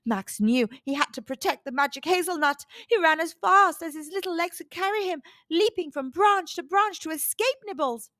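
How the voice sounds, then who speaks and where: A woman narrating a scripted children's storybook in an expressive, measured storytelling manner. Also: very high voice, no room sound, studio quality.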